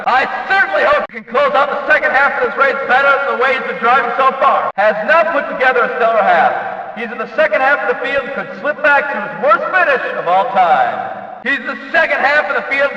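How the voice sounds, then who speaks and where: A man playing an excitable race announcer in a video game, calling the race from the booth in a folksy, emphatic, dramatic way. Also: very high voice, full character voice. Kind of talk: excited motorsport race commentary. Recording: echoey track PA, slightly dull, distant.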